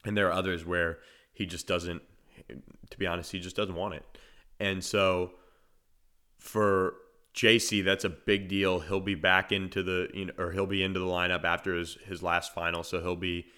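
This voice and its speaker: A man with a naturally deep voice, hosting a solo sports podcast in a conversational, analytical way, often restarting his phrases. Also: flat delivery, moderate pace, sharp tone, smooth. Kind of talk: solo podcast commentary and storytelling.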